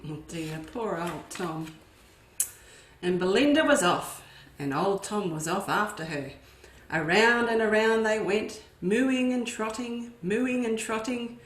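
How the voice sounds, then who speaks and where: Slow, expressive storytime reader. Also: female voice, medium pitch. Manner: expressive, with asides to young listeners. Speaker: children's educator. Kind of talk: storytime read-aloud. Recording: echoey room.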